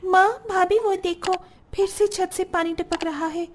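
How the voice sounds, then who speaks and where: A woman's voice: very high-pitched, fairly even intonation, measured pace. A woman voicing a poor, anxious, plaintive youngster in a Hindi cartoon story. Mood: worried. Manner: pleading, plaintive.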